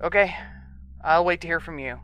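An actress plays a calm, supportive woman in an audio drama. Her voice is low and measured, with an understated delivery. There is faint ambience behind her. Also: muffled recording, a little hiss or hum, natural voice. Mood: quietly supportive.

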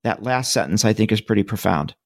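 Gravelly male interviewer. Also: fairly low pitch, expressive. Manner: measured, reads passages aloud. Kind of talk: interview questions and book readings.

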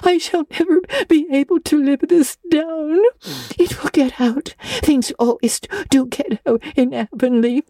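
A woman reading aloud and voicing an eager, dramatic orphan girl, high and tearful. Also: normal speed, few pauses, dark tone, husky, no echo, clean studio sound.

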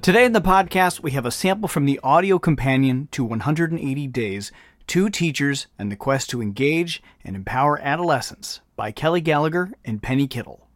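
A man's voice: a little high, expressive, fairly quick, no room sound, studio quality. A man reading a scripted podcast introduction as its host.

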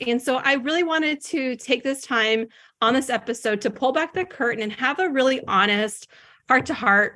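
Woman, podcast host, bubbly and gushing, bright forceful tone, a bit high. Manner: bubbly, gushing, self-deprecating. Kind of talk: casual podcast chat.

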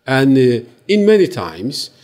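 A man from Turkey with a Turkish accent, speaking halting, earnest non-native English as an interview guest giving testimony. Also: mid-range voice, big pitch swings, darkish tone.

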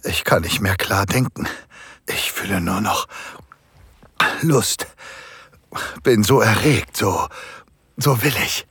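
Man playing pleading submissive husband, deep-ish voice, unhurried halting speech, breathy, close dry sound, erotic audio drama. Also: lively intonation, no echo, exaggerated voice. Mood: aroused, pleading. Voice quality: husky, breathy. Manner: slow, hushed, halting with moans. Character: submissive married man, construction foreman, eager, aroused, pleading. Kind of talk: intimate first-person erotic audio drama narration.

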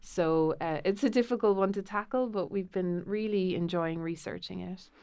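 A woman hosts a podcast with an easygoing, friendly manner. Her low voice is smooth and clear, and the room is dry. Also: normal speed, dry recording. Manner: warm, apologetic. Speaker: podcast host. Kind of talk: casual chat.

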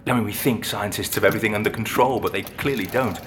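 A man playing a friendly, thoughtful music-box restorer in an audio drama, explaining things in a conversational, unhurried way. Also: lowish voice, fairly bright tone, slight background noise, natural voice. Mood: thoughtful, enthusiastic. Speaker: actor playing an interviewee. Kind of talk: naturalistic audio drama dialogue.

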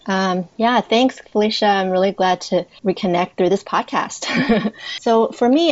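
Woman guest, lowish, cheerful, dry muffled audio. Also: darkish tone, no room sound. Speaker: podcast guest. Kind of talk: casual interview talk.

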